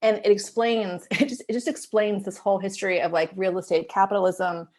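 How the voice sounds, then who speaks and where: A woman's voice: medium pitch, fairly even intonation, fairly quick, darkish tone. An American woman in her fifties talking casually as an interview guest, explaining things in a chatty, informal way. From Miami, United States. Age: 50s. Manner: talkative, explanatory, informal with frequent "like" and "you know".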